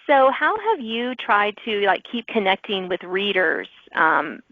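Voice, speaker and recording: Woman hosting a podcast, chatty and informal, mid pitch, dry but a bit dull audio. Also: very animated, unhurried, no echo. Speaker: podcast host and author. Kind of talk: conversational interview.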